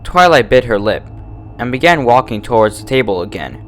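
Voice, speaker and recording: A man's voice: mid-range voice, very animated, fairly quick, a bit bright. A man reading a horror fan fiction aloud as its narrator, in a scripted storytelling style.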